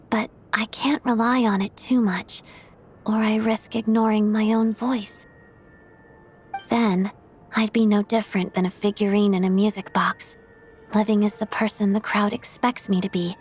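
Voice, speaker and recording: Poised young female star, mid pitch, cool, measured, reserved, understated, video game, faint music. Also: steady tone, darkish tone, husky. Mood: composed. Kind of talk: video game cutscene acting.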